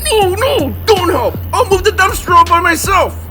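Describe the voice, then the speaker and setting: An actor playing an exasperated, sarcastic, long-suffering sidekick in a comedy horror audio drama. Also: male voice, extremely high pitch, expressive, normal speed, music in the background. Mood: sarcastic, indignant. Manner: sarcastic, whiny.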